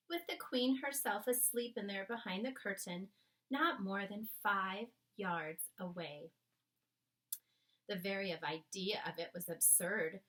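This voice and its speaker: A woman reading a storybook aloud as narrator, with dramatic, measured phrasing. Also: medium pitch, a bit bright, poor audio.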